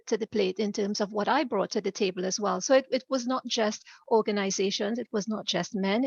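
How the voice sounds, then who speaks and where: Trinidadian woman with a gravelly voice. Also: medium pitch, no echo, muffled recording. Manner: measured, laughs often between points. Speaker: guest. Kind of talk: podcast interview.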